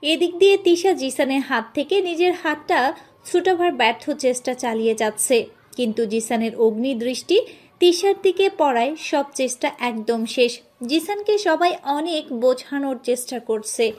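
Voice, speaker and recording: A woman narrating a scripted romantic story as its storyteller. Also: high-pitched.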